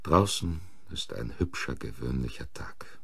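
A man's voice: a bass voice, lively intonation, unhurried, gravelly, husky, no room sound, exaggerated voice. A man acting a defensive, sardonic accused man in a German crime radio play, brooding and bitter. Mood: bitter, resigned. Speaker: actor.

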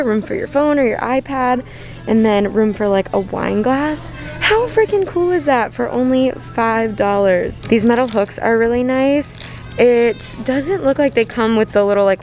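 A young woman narrating a casual shop-with-me video in a large store, bubbly and gushing, with many "like" fillers, recorded on a handheld phone. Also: mid-range voice. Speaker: shopping vlogger. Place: large retail store, indoors. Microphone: handheld phone camera.

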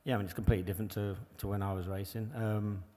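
A man's voice: on the low side, little pitch movement, very fast, mellow. A man who once raced, talking as a panel guest on stage, rambling with many filler phrases. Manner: rambling, many "you know" and restarts. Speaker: bike engineer and former pro racer. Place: large hall on stage before an audience. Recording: long hall reverb.

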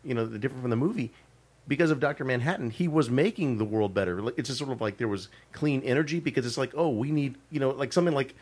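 A man's voice: medium pitch, quick pace, a little mellow. A man co-hosting a casual podcast, eager and tripping over his restarts. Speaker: podcast co-host. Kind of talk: casual chat.

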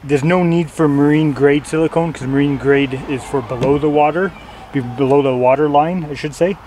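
A man's voice: fairly high pitch, moderate pace, darkish tone, a little hiss or hum. Man explaining a DIY job outdoors. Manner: plain, loose, with fillers and self-corrections. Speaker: DIY instructor. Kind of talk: casual how-to explanation. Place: outdoors on a lawn.

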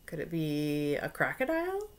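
A woman's voice: deep voice, huge pitch swings, moderate pace. An American woman in her forties reading a children's picture book aloud expressively, with asides and questions to young listeners. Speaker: read-aloud storyteller. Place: small room at home.

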